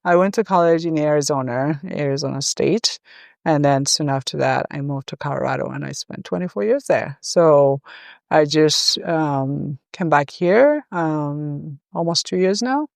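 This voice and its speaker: Smooth-voiced middle-aged woman, hesitant. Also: sits low, unhurried, darkish tone, no room sound, studio quality. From Rwanda, raised in Uganda. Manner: thoughtful, hesitant with many ums. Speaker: interview guest, gender professional. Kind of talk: conversational interview.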